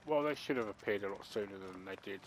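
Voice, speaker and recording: Rambling gamer, low voice. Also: male voice, lively intonation, quick pace, a little hiss or hum. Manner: rambling and chatty, many fillers. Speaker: gaming channel host. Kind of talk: let's play commentary.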